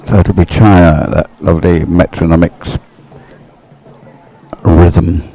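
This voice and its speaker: Deep-voiced man commentating live sport. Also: flat delivery, slow, warm tone.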